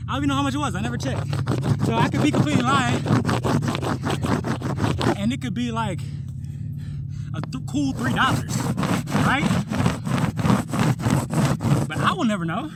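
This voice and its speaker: A man's voice: very high voice, lively intonation, normal speed, pauses often. A young man vlogging his travels in a casual, slangy and reflective way, talking straight to the viewer. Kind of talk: casual vlog narration. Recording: loud background noise.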